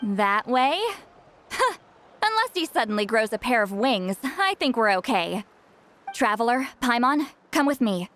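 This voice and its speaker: A woman's voice: on the high side, very animated, a little slow, fairly bright tone. A woman playing an aloof, self-assured, slightly prickly young shaman in a video game, with a dry, offhand delivery. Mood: cool, commanding.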